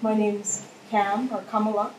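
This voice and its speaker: A woman in her forties, a workshop participant, talking about herself thoughtfully with frequent ums and pauses. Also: mid-range voice, flat delivery, a little mellow. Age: 40s. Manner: thoughtful, hesitant, many ums. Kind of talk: casual personal sharing. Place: conference workshop room. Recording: echoey room, distant mic, noisy.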